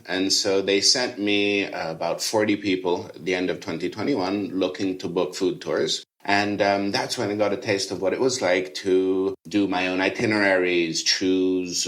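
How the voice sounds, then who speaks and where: A middle-aged man raised in Rome, a chef and food tour guide, chatting enthusiastically in a podcast interview. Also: low-pitched. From Rome, Italy. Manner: chatty, enthusiastic, casual fillers.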